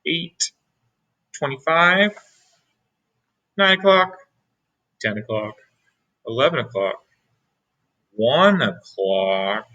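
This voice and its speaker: A man reading a picture book aloud as a teacher, pausing for asides to young listeners. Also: mid-range voice, lively intonation, pushed and bright, dull audio. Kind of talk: read-aloud storytelling.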